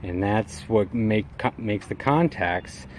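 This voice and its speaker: A man's voice: fairly low pitch, takes it slowly, slight background noise. A man giving a casual, unscripted walkaround of his vehicle in a folksy, colloquial way with hobbyist slang. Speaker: vlogger showing his vehicle build.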